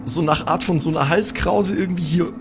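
A man's voice: fairly high pitch, fairly quick, vocal fry. A man chatting casually and slangily while improvising as a player in a tabletop role-playing show. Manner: colloquial slangy German with English bits. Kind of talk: casual improvised in-character chat. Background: music.

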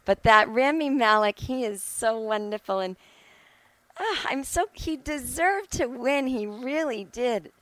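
A woman's voice: a little high, expressive, unhurried, a bit bright, dry recording. A middle-aged woman hosting a solo podcast, talking casually and bluntly, with emphatic, sweary opinions. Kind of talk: casual opinionated monologue, ranting. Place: at home.

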